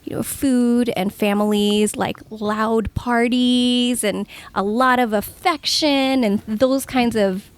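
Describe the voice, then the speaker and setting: A woman's voice: fairly high pitch, steady tone, takes it slowly, few pauses, clear, resonant tone. A woman from the United States, a podcast guest, sharing thoughtful and reflective answers in a casual panel chat.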